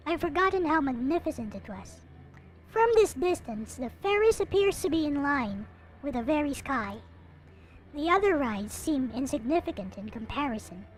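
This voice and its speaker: Female narrator, high and animated voice, dramatic visual novel read-aloud with expressive delivery, music underneath. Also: low-quality recording. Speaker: video creator reading a visual novel aloud. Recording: music playing behind the voice. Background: visual novel soundtrack music.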